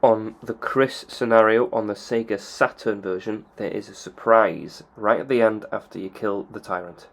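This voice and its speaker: Man on a gaming channel, plain explainer, lowish voice. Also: fairly even intonation, normal speed, gravelly, hardly any pauses, a little hiss or hum. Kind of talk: explaining game cutscenes.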